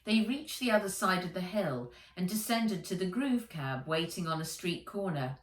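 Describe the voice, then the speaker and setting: A woman author reading her children's book aloud as a storyteller, in measured, expressive narration. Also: on the low side. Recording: roomy echo, distant microphone.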